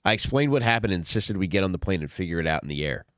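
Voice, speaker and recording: Deep-voiced, blunt middle-aged man. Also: animated, rapid-fire, fairly bright tone, no room sound. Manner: blunt, profane, conversational. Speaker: author reading own memoir and podcast host. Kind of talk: audiobook reading with casual comic asides.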